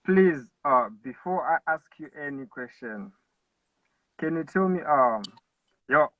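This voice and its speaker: A man hosting an interview, asking questions haltingly as he searches for words. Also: a little high, expressive, dry recording. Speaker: podcast host. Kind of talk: casual interview. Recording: tinny phone line, distant and dry.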